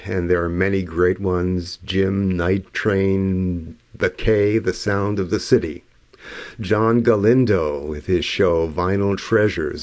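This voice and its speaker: A man with a very low, hoarse voice introduces records on the radio. He talks slowly and loosely, and his level sometimes drops away. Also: darkish tone, no room sound. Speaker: radio host. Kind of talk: relaxed music radio links.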